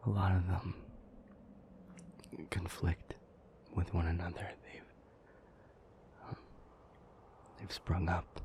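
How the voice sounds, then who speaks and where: Man playing a very deep whispering demon. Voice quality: breathy, whispery. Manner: hushed, halting, deliberate delivery with long pauses. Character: ancient male demon, somber, thoughtful, recounting old myths. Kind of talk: intimate audio roleplay, slow storytelling.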